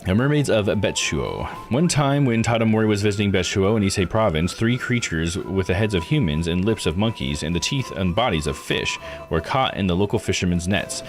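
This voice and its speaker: A man's voice: low voice, lively intonation, fairly quick, soft background music. A tired man streaming a game live, reading its text aloud with casual asides and correcting himself on tricky names. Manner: stumbles over foreign names and self-corrects.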